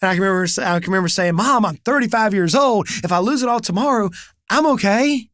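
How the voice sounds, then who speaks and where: A man's voice: high voice, quick pace, a bit bright, no echo, studio quality. A man in his forties hosting a business coaching talk, telling stories energetically and acting out the conversations he quotes. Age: 40s. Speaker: business coach and channel host. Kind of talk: animated solo talk to camera.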